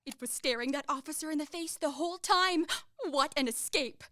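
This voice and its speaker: A woman's voice: high voice, a little fast, pushed and bright, dry recording, studio quality. An actress playing a proud, spirited young noblewoman in a radio play, with a haughty manner. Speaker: Raina. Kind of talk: college radio play acting.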